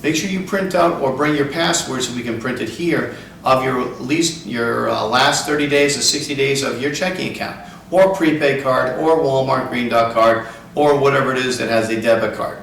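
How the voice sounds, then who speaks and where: A man's voice: mid-range voice, moderate pace, creaky, fairly bright tone. A man working as a car sales trainer gives an instructional talk, explaining emphatically step by step. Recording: echoey room, distant mic, faint noise.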